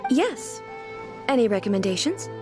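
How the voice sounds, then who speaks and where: A woman's voice: a little high, big pitch swings, moderate pace, over music. An actress playing a regal, composed female ruler in a video game, formal and earnest with a naive streak. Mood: calm, gracious.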